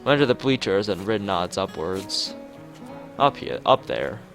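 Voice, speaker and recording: A man with a fairly low voice narrates a visual novel casually over faint game music. His pitch moves around in a lively way, and his asides ramble and halt as he comments on what he reads. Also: measured pace, vocal fry. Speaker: let's player reading a visual novel aloud. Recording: music behind the voice.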